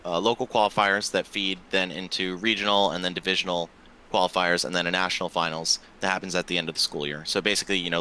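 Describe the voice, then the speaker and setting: A man's voice: low-pitched, bright, forceful tone, slight background noise, poor audio. A man in his twenties, a podcast guest chatting casually and talkatively, with loose filler words and the odd swear. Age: 20s. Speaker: podcast guest, esports tournament organizer.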